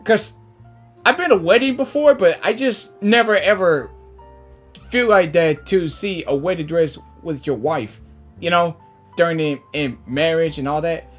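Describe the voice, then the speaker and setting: A woman's voice: fairly low pitch, measured pace. A woman giving casual reaction commentary on a game, rambling and exclamatory as she repeats herself. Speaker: reaction video host. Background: game music.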